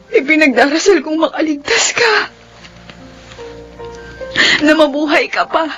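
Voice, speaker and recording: A woman's voice: very high-pitched, a bit bright, poor audio. A woman playing a heartbroken young nurse, tearful and earnest, in a radio drama. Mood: tearful pleading. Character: heartbroken young nurse pleading with her comatose ex-boyfriend. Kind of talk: Tagalog radio drama acting.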